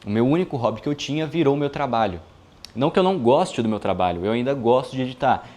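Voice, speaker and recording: A man in his early twenties, a video editor, talking casually to his viewers in a relaxed, chatty way with frequent filler tags. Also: medium pitch, fast talker. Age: 20s. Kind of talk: casual vlog monologue.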